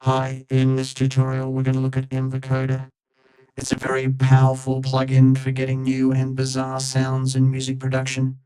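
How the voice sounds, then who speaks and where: A man's voice: medium pitch, monotone, normal speed, loud music behind the voice. A man reading scripted tutorial narration, his voice processed through a vocoder into a synthetic robotic sound. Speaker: tutorial presenter. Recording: echoey, distant, over loud synth tones.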